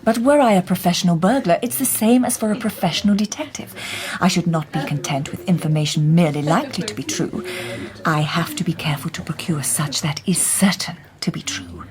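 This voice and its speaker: An actress playing a poised, shrewd Victorian lady detective in a radio drama, speaking softly and breathily, half in a whisper. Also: on the low side, fairly quick, a crowd in the background, lightly acted. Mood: measured, knowing.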